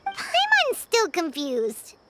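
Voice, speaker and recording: A woman voicing a tiny, excitable, easily confused fairy companion in a video game, with a childlike manner. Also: extremely high pitch, slow pace, heavy background noise, heavily acted. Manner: childlike, refers to self in third person. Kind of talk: video game character dialogue. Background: background music.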